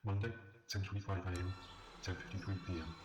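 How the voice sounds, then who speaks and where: A man's voice: low voice, steady tone, quick pace. A man reading out a scripted timetable announcement in a matter-of-fact way. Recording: distant voice under loud music.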